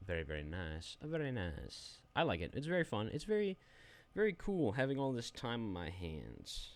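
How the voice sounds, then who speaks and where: A man's voice: fairly low pitch, huge pitch swings, normal speed. A young adult man giving a rambling, self-deprecating, unedited review of a phone app while sharing his screen.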